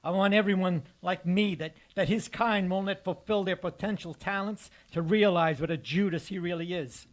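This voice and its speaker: An actor playing a bitter, menacing escaped convict in a live radio drama, speaking with formal, mocking courtesy. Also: male voice, high-pitched, moderate pace, hardly any pauses, close to an everyday voice. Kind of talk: live recreation of golden age radio drama.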